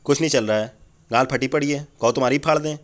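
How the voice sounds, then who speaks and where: A man's voice: medium pitch, quick pace, natural voice. A man playing a crude, irritable motivational speaker in a comedy phone-call sketch, ranting bluntly and swearing in a rambling, cynical way. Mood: irritated.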